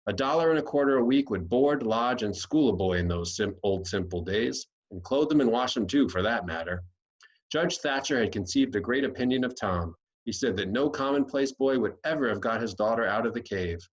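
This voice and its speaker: A man's voice: mid-range voice, expressive, brisk, muffled recording. An American man reading a classic storybook aloud as scripted narration. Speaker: audiobook reader.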